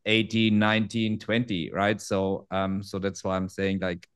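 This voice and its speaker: Guest, man, 40s, German accent, low voice, friendly, non-native English. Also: level tone, a little slow, clean tone. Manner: friendly, laughs easily, restarts phrases in non-native English. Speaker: guest trader. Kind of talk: casual interview chat.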